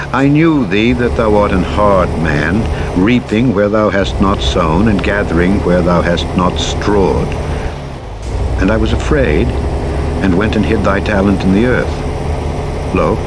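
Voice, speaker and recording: Clear truck engine and road noise runs under a man's low-pitched voice. He reads scripture solemnly as a narrator, in formal archaic English. His delivery is measured and dramatic. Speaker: Bible narrator. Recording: played over clearly audible vehicle engine noise. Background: truck engine and road noise from a driving game.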